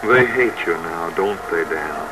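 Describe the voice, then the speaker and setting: Deep, slow, knowing visitor. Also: male voice, animated, rough recording. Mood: calm, sympathetic. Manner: measured, quiet, gently probing. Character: calm, knowing male visitor, like a voice of conscience. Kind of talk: old-time radio drama acting. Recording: old radio broadcast, music behind.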